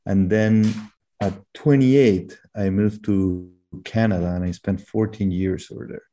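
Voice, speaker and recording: A middle-aged man from Peru with a Peruvian accent, explaining things conversationally as an interview guest in a halting way. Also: lowish voice, fairly even intonation, dark tone. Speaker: interview guest, company executive.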